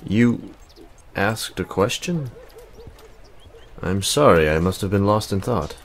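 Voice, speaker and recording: A man's voice: fairly low pitch, lively intonation, slow. A man playing a brooding, absent-minded necromancer in a visual novel, curt and preoccupied. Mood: distracted, grave.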